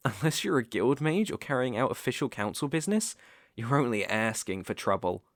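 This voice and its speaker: A man with a British accent playing a sinister, smooth-talking werewolf in an ASMR roleplay, theatrical and teasing, sometimes dropping to a whisper. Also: mid-range voice, expressive, a little fast, no room sound, clean studio sound, lightly acted. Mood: sly reassurance. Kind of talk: ASMR roleplay audio acting.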